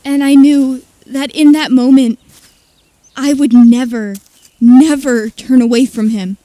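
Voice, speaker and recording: Earnest, high-voiced woman disciple, Mary. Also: steady tone, slow, mellow, no room sound. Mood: fervent devotion. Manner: earnest, emotional delivery. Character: Mary, devoted earnest female follower of Jesus. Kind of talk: audio drama acting.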